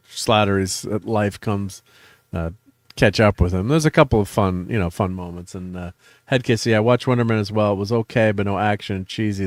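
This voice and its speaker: A man's voice: fairly low pitch, no room sound. A middle-aged man hosting a casual chat show, rambling with many fillers and restarts. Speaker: host.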